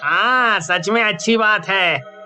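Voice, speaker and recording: A man's voice: sits high, takes it slowly, bright, forceful tone, faint music behind, exaggerated voice. A man voicing a plaintive, chatty factory worker in a cartoon horror story. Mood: agreeable. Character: weary, grumbling male factory worker, chilly. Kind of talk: Hindi cartoon voice acting.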